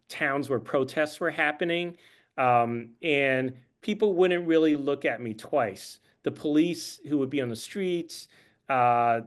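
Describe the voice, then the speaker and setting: A man who is an author and journalist, answering audience questions at a book talk in a measured, thoughtful way, with frequent ums. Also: medium pitch. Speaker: author and journalist, guest speaker.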